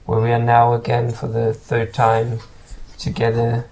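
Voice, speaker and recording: Flat, gravelly man. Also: medium pitch, a little hiss or hum, poor audio. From Germany. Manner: halting, repeats words while searching for them. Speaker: guest musician. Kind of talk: casual interview talk.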